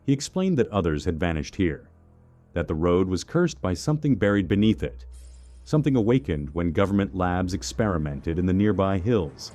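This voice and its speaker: A man reads a scripted first-person horror story in a measured, suspenseful way, using short clipped phrases. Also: sits low, big pitch swings, mellow. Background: music under the voice.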